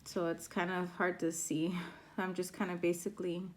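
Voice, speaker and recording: A woman teaching a crochet pattern step by step, her voice raspy from a sore throat as she counts stitches aloud. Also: lowish voice, little pitch movement, normal speed, a little hiss or hum. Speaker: crochet instructor. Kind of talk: step-by-step craft tutorial.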